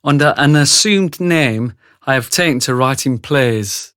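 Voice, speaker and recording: An actor playing an older, retired insider who narrates a radio drama in a measured, wry way. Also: male voice, medium pitch, lively intonation, fairly bright tone, smooth, dry recording, studio quality. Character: retired male insider narrating a dark confession.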